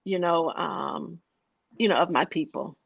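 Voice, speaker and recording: Woman guest, soft-spoken and halting, low-ish voice, dull lo-fi recording. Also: creaky, slightly rough, no room sound. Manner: hesitant, many fillers and restarts. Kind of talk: conversational interview.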